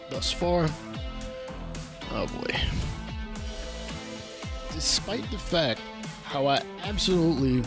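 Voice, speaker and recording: Guy with a stuffy nose from a cold doing chill play-by-play, game music under him. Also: medium pitch. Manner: laid-back, chatty play-by-play with asides. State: nasal from a summer cold. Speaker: gaming channel host. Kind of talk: casual game commentary. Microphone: studio mic on a boom arm.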